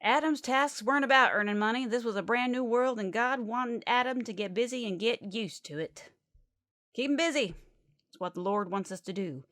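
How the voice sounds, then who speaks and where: A woman's voice: on the high side, normal speed, fairly bright tone, no room sound, lightly acted. Zealous Southern female preacher, played by an actress, folksy, emphatic late-night radio sermonizer, dry studio sound. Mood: earnest preaching.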